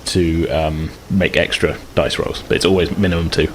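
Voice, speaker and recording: A man's voice: a bass voice, fairly even intonation, normal speed. A man acting as game master, narrating a tabletop game casually and thinking aloud in loose, hesitant phrases. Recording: clear background noise, room ambience.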